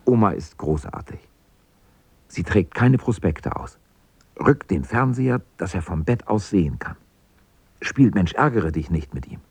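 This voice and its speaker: A man's voice: deep voice, animated, unhurried, a little mellow, husky. A man reading scripted storybook narration for a radio drama.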